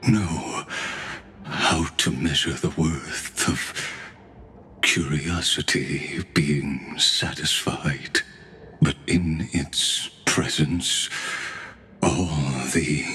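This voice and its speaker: An actor playing a calm, detached dying scholar in a video game, speaking solemnly and wearily, with sighs. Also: male voice, very deep voice, full character voice. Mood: weary, resigned. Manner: solemn, weary, deliberate, sighing. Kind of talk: dramatic video game voice acting.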